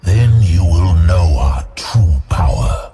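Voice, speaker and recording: A man voicing a cold, commanding demon lord in a fantasy audio drama, with slow, ominous, menacing pronouncements. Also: animated. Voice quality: deep, menacing. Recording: music behind the voice.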